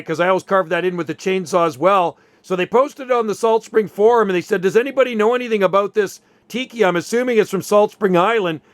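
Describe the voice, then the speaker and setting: A man's voice: high voice, fairly bright tone, no echo. A man chatting casually as an amateur radio operator, easygoing and rambling as he tells stories. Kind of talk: casual radio chat, storytelling.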